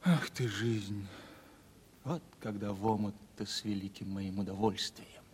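An actor playing a lovelorn young merchant's son, agitated and folksy, in a radio stage play. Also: male voice, fairly low pitch, steady tone, measured pace, darkish tone, slightly rough. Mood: anxious, forlorn. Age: young adult. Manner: plain-spoken, folksy, agitated. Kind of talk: 1970s Russian stage play radio acting. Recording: reverberant hall, old radio drama.

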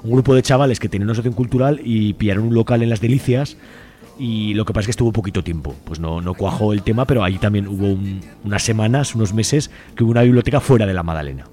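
Guy talking really fast, soft mellow tone, casual radio chat, super talkative. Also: lowish voice. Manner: talkative, colloquial, seeks agreement with question tags. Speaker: community library volunteer, interview guest.

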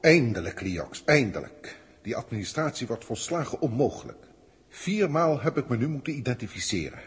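Pompous galactic official, husky, reverberant. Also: male voice, mid-range voice, very animated, normal speed. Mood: exasperated, eager. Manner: formal, theatrical, verbose. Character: pompous, exasperated senior alien official dictating galactic reports. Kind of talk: 1960s Dutch radio drama acting. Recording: old radio recording, long hall reverb.

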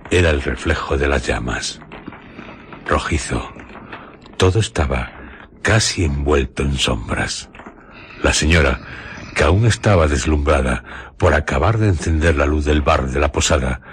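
A man narrating a radio drama in a measured, suspenseful storytelling manner. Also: very deep voice, slight background noise.

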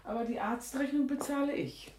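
An actress playing a suspicious, sharp-tongued middle-aged mother who interrogates sternly and sardonically in a radio play. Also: high-pitched, moderate pace, slight background noise, close to an everyday voice.

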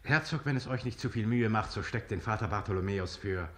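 An actor playing an imperious king in a radio play, ironically polite and deliberate. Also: on the low side, fairly bright tone, lightly acted. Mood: regal command. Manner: regal, mock-courteous, deliberate. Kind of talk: German radio play acting.

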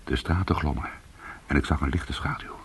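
An actor playing a weary, hard-boiled former cat burglar, telling his story in an intimate, brooding and deliberate way in a radio play. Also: rumbling low, husky, heavily acted. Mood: hushed, suspenseful.

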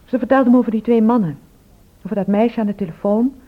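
An actress playing a frank, sharp-tongued, wry woman shopkeeper in a radio drama. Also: fairly high pitch, quick pace, natural voice. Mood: frank, concerned. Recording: thin phone-like old radio recording.

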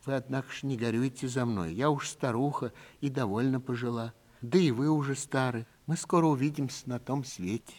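A man voicing a gentle, resigned old woman who speaks quietly and earnestly in a literary story. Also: mid-range voice, a little fast, natural voice. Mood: tender, sorrowful. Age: older.

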